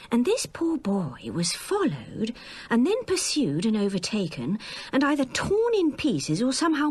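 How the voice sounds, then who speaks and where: An actress playing a gossipy, dramatic English woman telling a frightening anecdote in a radio play, often dropping to hushed tones. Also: on the high side, breathy edge, heavily acted.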